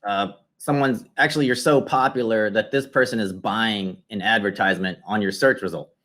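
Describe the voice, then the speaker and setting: A man with a slightly deep voice hosts a client interview. He talks conversationally as he presents a shared screen, explaining each item step by step in the manner of a consultant. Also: fairly even intonation, normal speed, fairly bright tone. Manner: explanatory, walks listener through results step by step.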